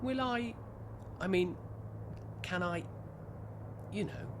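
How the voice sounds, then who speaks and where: A woman's voice: medium pitch, takes it slowly, background noise, close to an everyday voice. A woman playing a shy, awkward man in a radio play, hesitant and diffident in delivery. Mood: shy, hopeful. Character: shy, awkward, self-doubting man who lives with mother.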